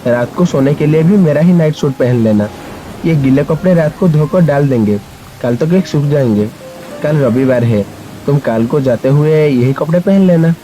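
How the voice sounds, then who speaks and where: A man as a caring, higher-voiced young office boss. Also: expressive, moderate pace, slight background noise, natural voice, rough recording. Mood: caring, reassuring. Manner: gently insistent, reassuring. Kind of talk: animated storybook dialogue. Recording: music and rain behind the voice. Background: music, rain.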